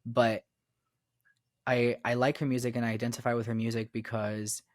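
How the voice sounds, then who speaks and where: Young man, podcast guest, rambling, filler-heavy, mid pitch, dry room. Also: level tone, moderate pace, no room sound. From Florida, raised in Miami. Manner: rambling, repeats phrases, many fillers. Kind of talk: casual conversation.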